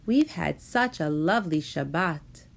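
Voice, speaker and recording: A woman voicing a gentle, reassuring mother in a children's storybook, soothing and measured. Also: sits low, expressive, vocal fry, heavily acted.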